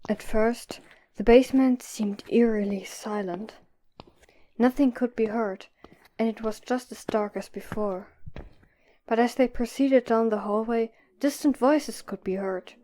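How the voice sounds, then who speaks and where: 여성 내레이터, 부드럽고 어두운 음색. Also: female voice, a little high, measured pace, mellow. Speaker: narrator. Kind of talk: scripted audio drama narration.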